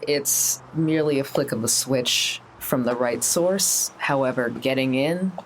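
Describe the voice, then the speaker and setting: A man's voice: medium pitch, measured pace, slight background noise, poor audio. A man, a tabletop game player improvising casual in-character talk.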